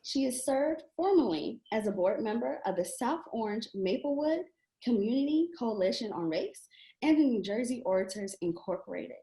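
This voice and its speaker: Upbeat woman host with lively pitch. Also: moderate pace, echoey room, poor audio. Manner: bright, upbeat, welcoming. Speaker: club president and host. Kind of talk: hosting an online reading series interview.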